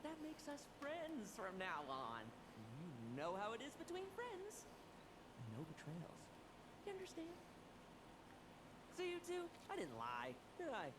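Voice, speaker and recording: A woman's voice: on the high side, huge pitch swings, unhurried. An actress playing a cheeky young woman knight with a playful, teasing manner. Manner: playful, teasing, swinging between cheer and gloom. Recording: game audio with noise.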